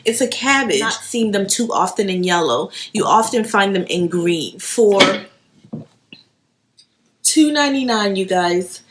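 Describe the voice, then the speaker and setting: A woman's voice: mid-range voice, expressive, measured pace, background noise. A woman in her late thirties, originally from Haiti and not a native English speaker, chatting enthusiastically to viewers while showing off her thrift store finds. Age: 30s. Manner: chatty, enthusiastic, addresses viewers as you guys. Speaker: home decor vlogger. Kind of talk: casual thrift haul show-and-tell.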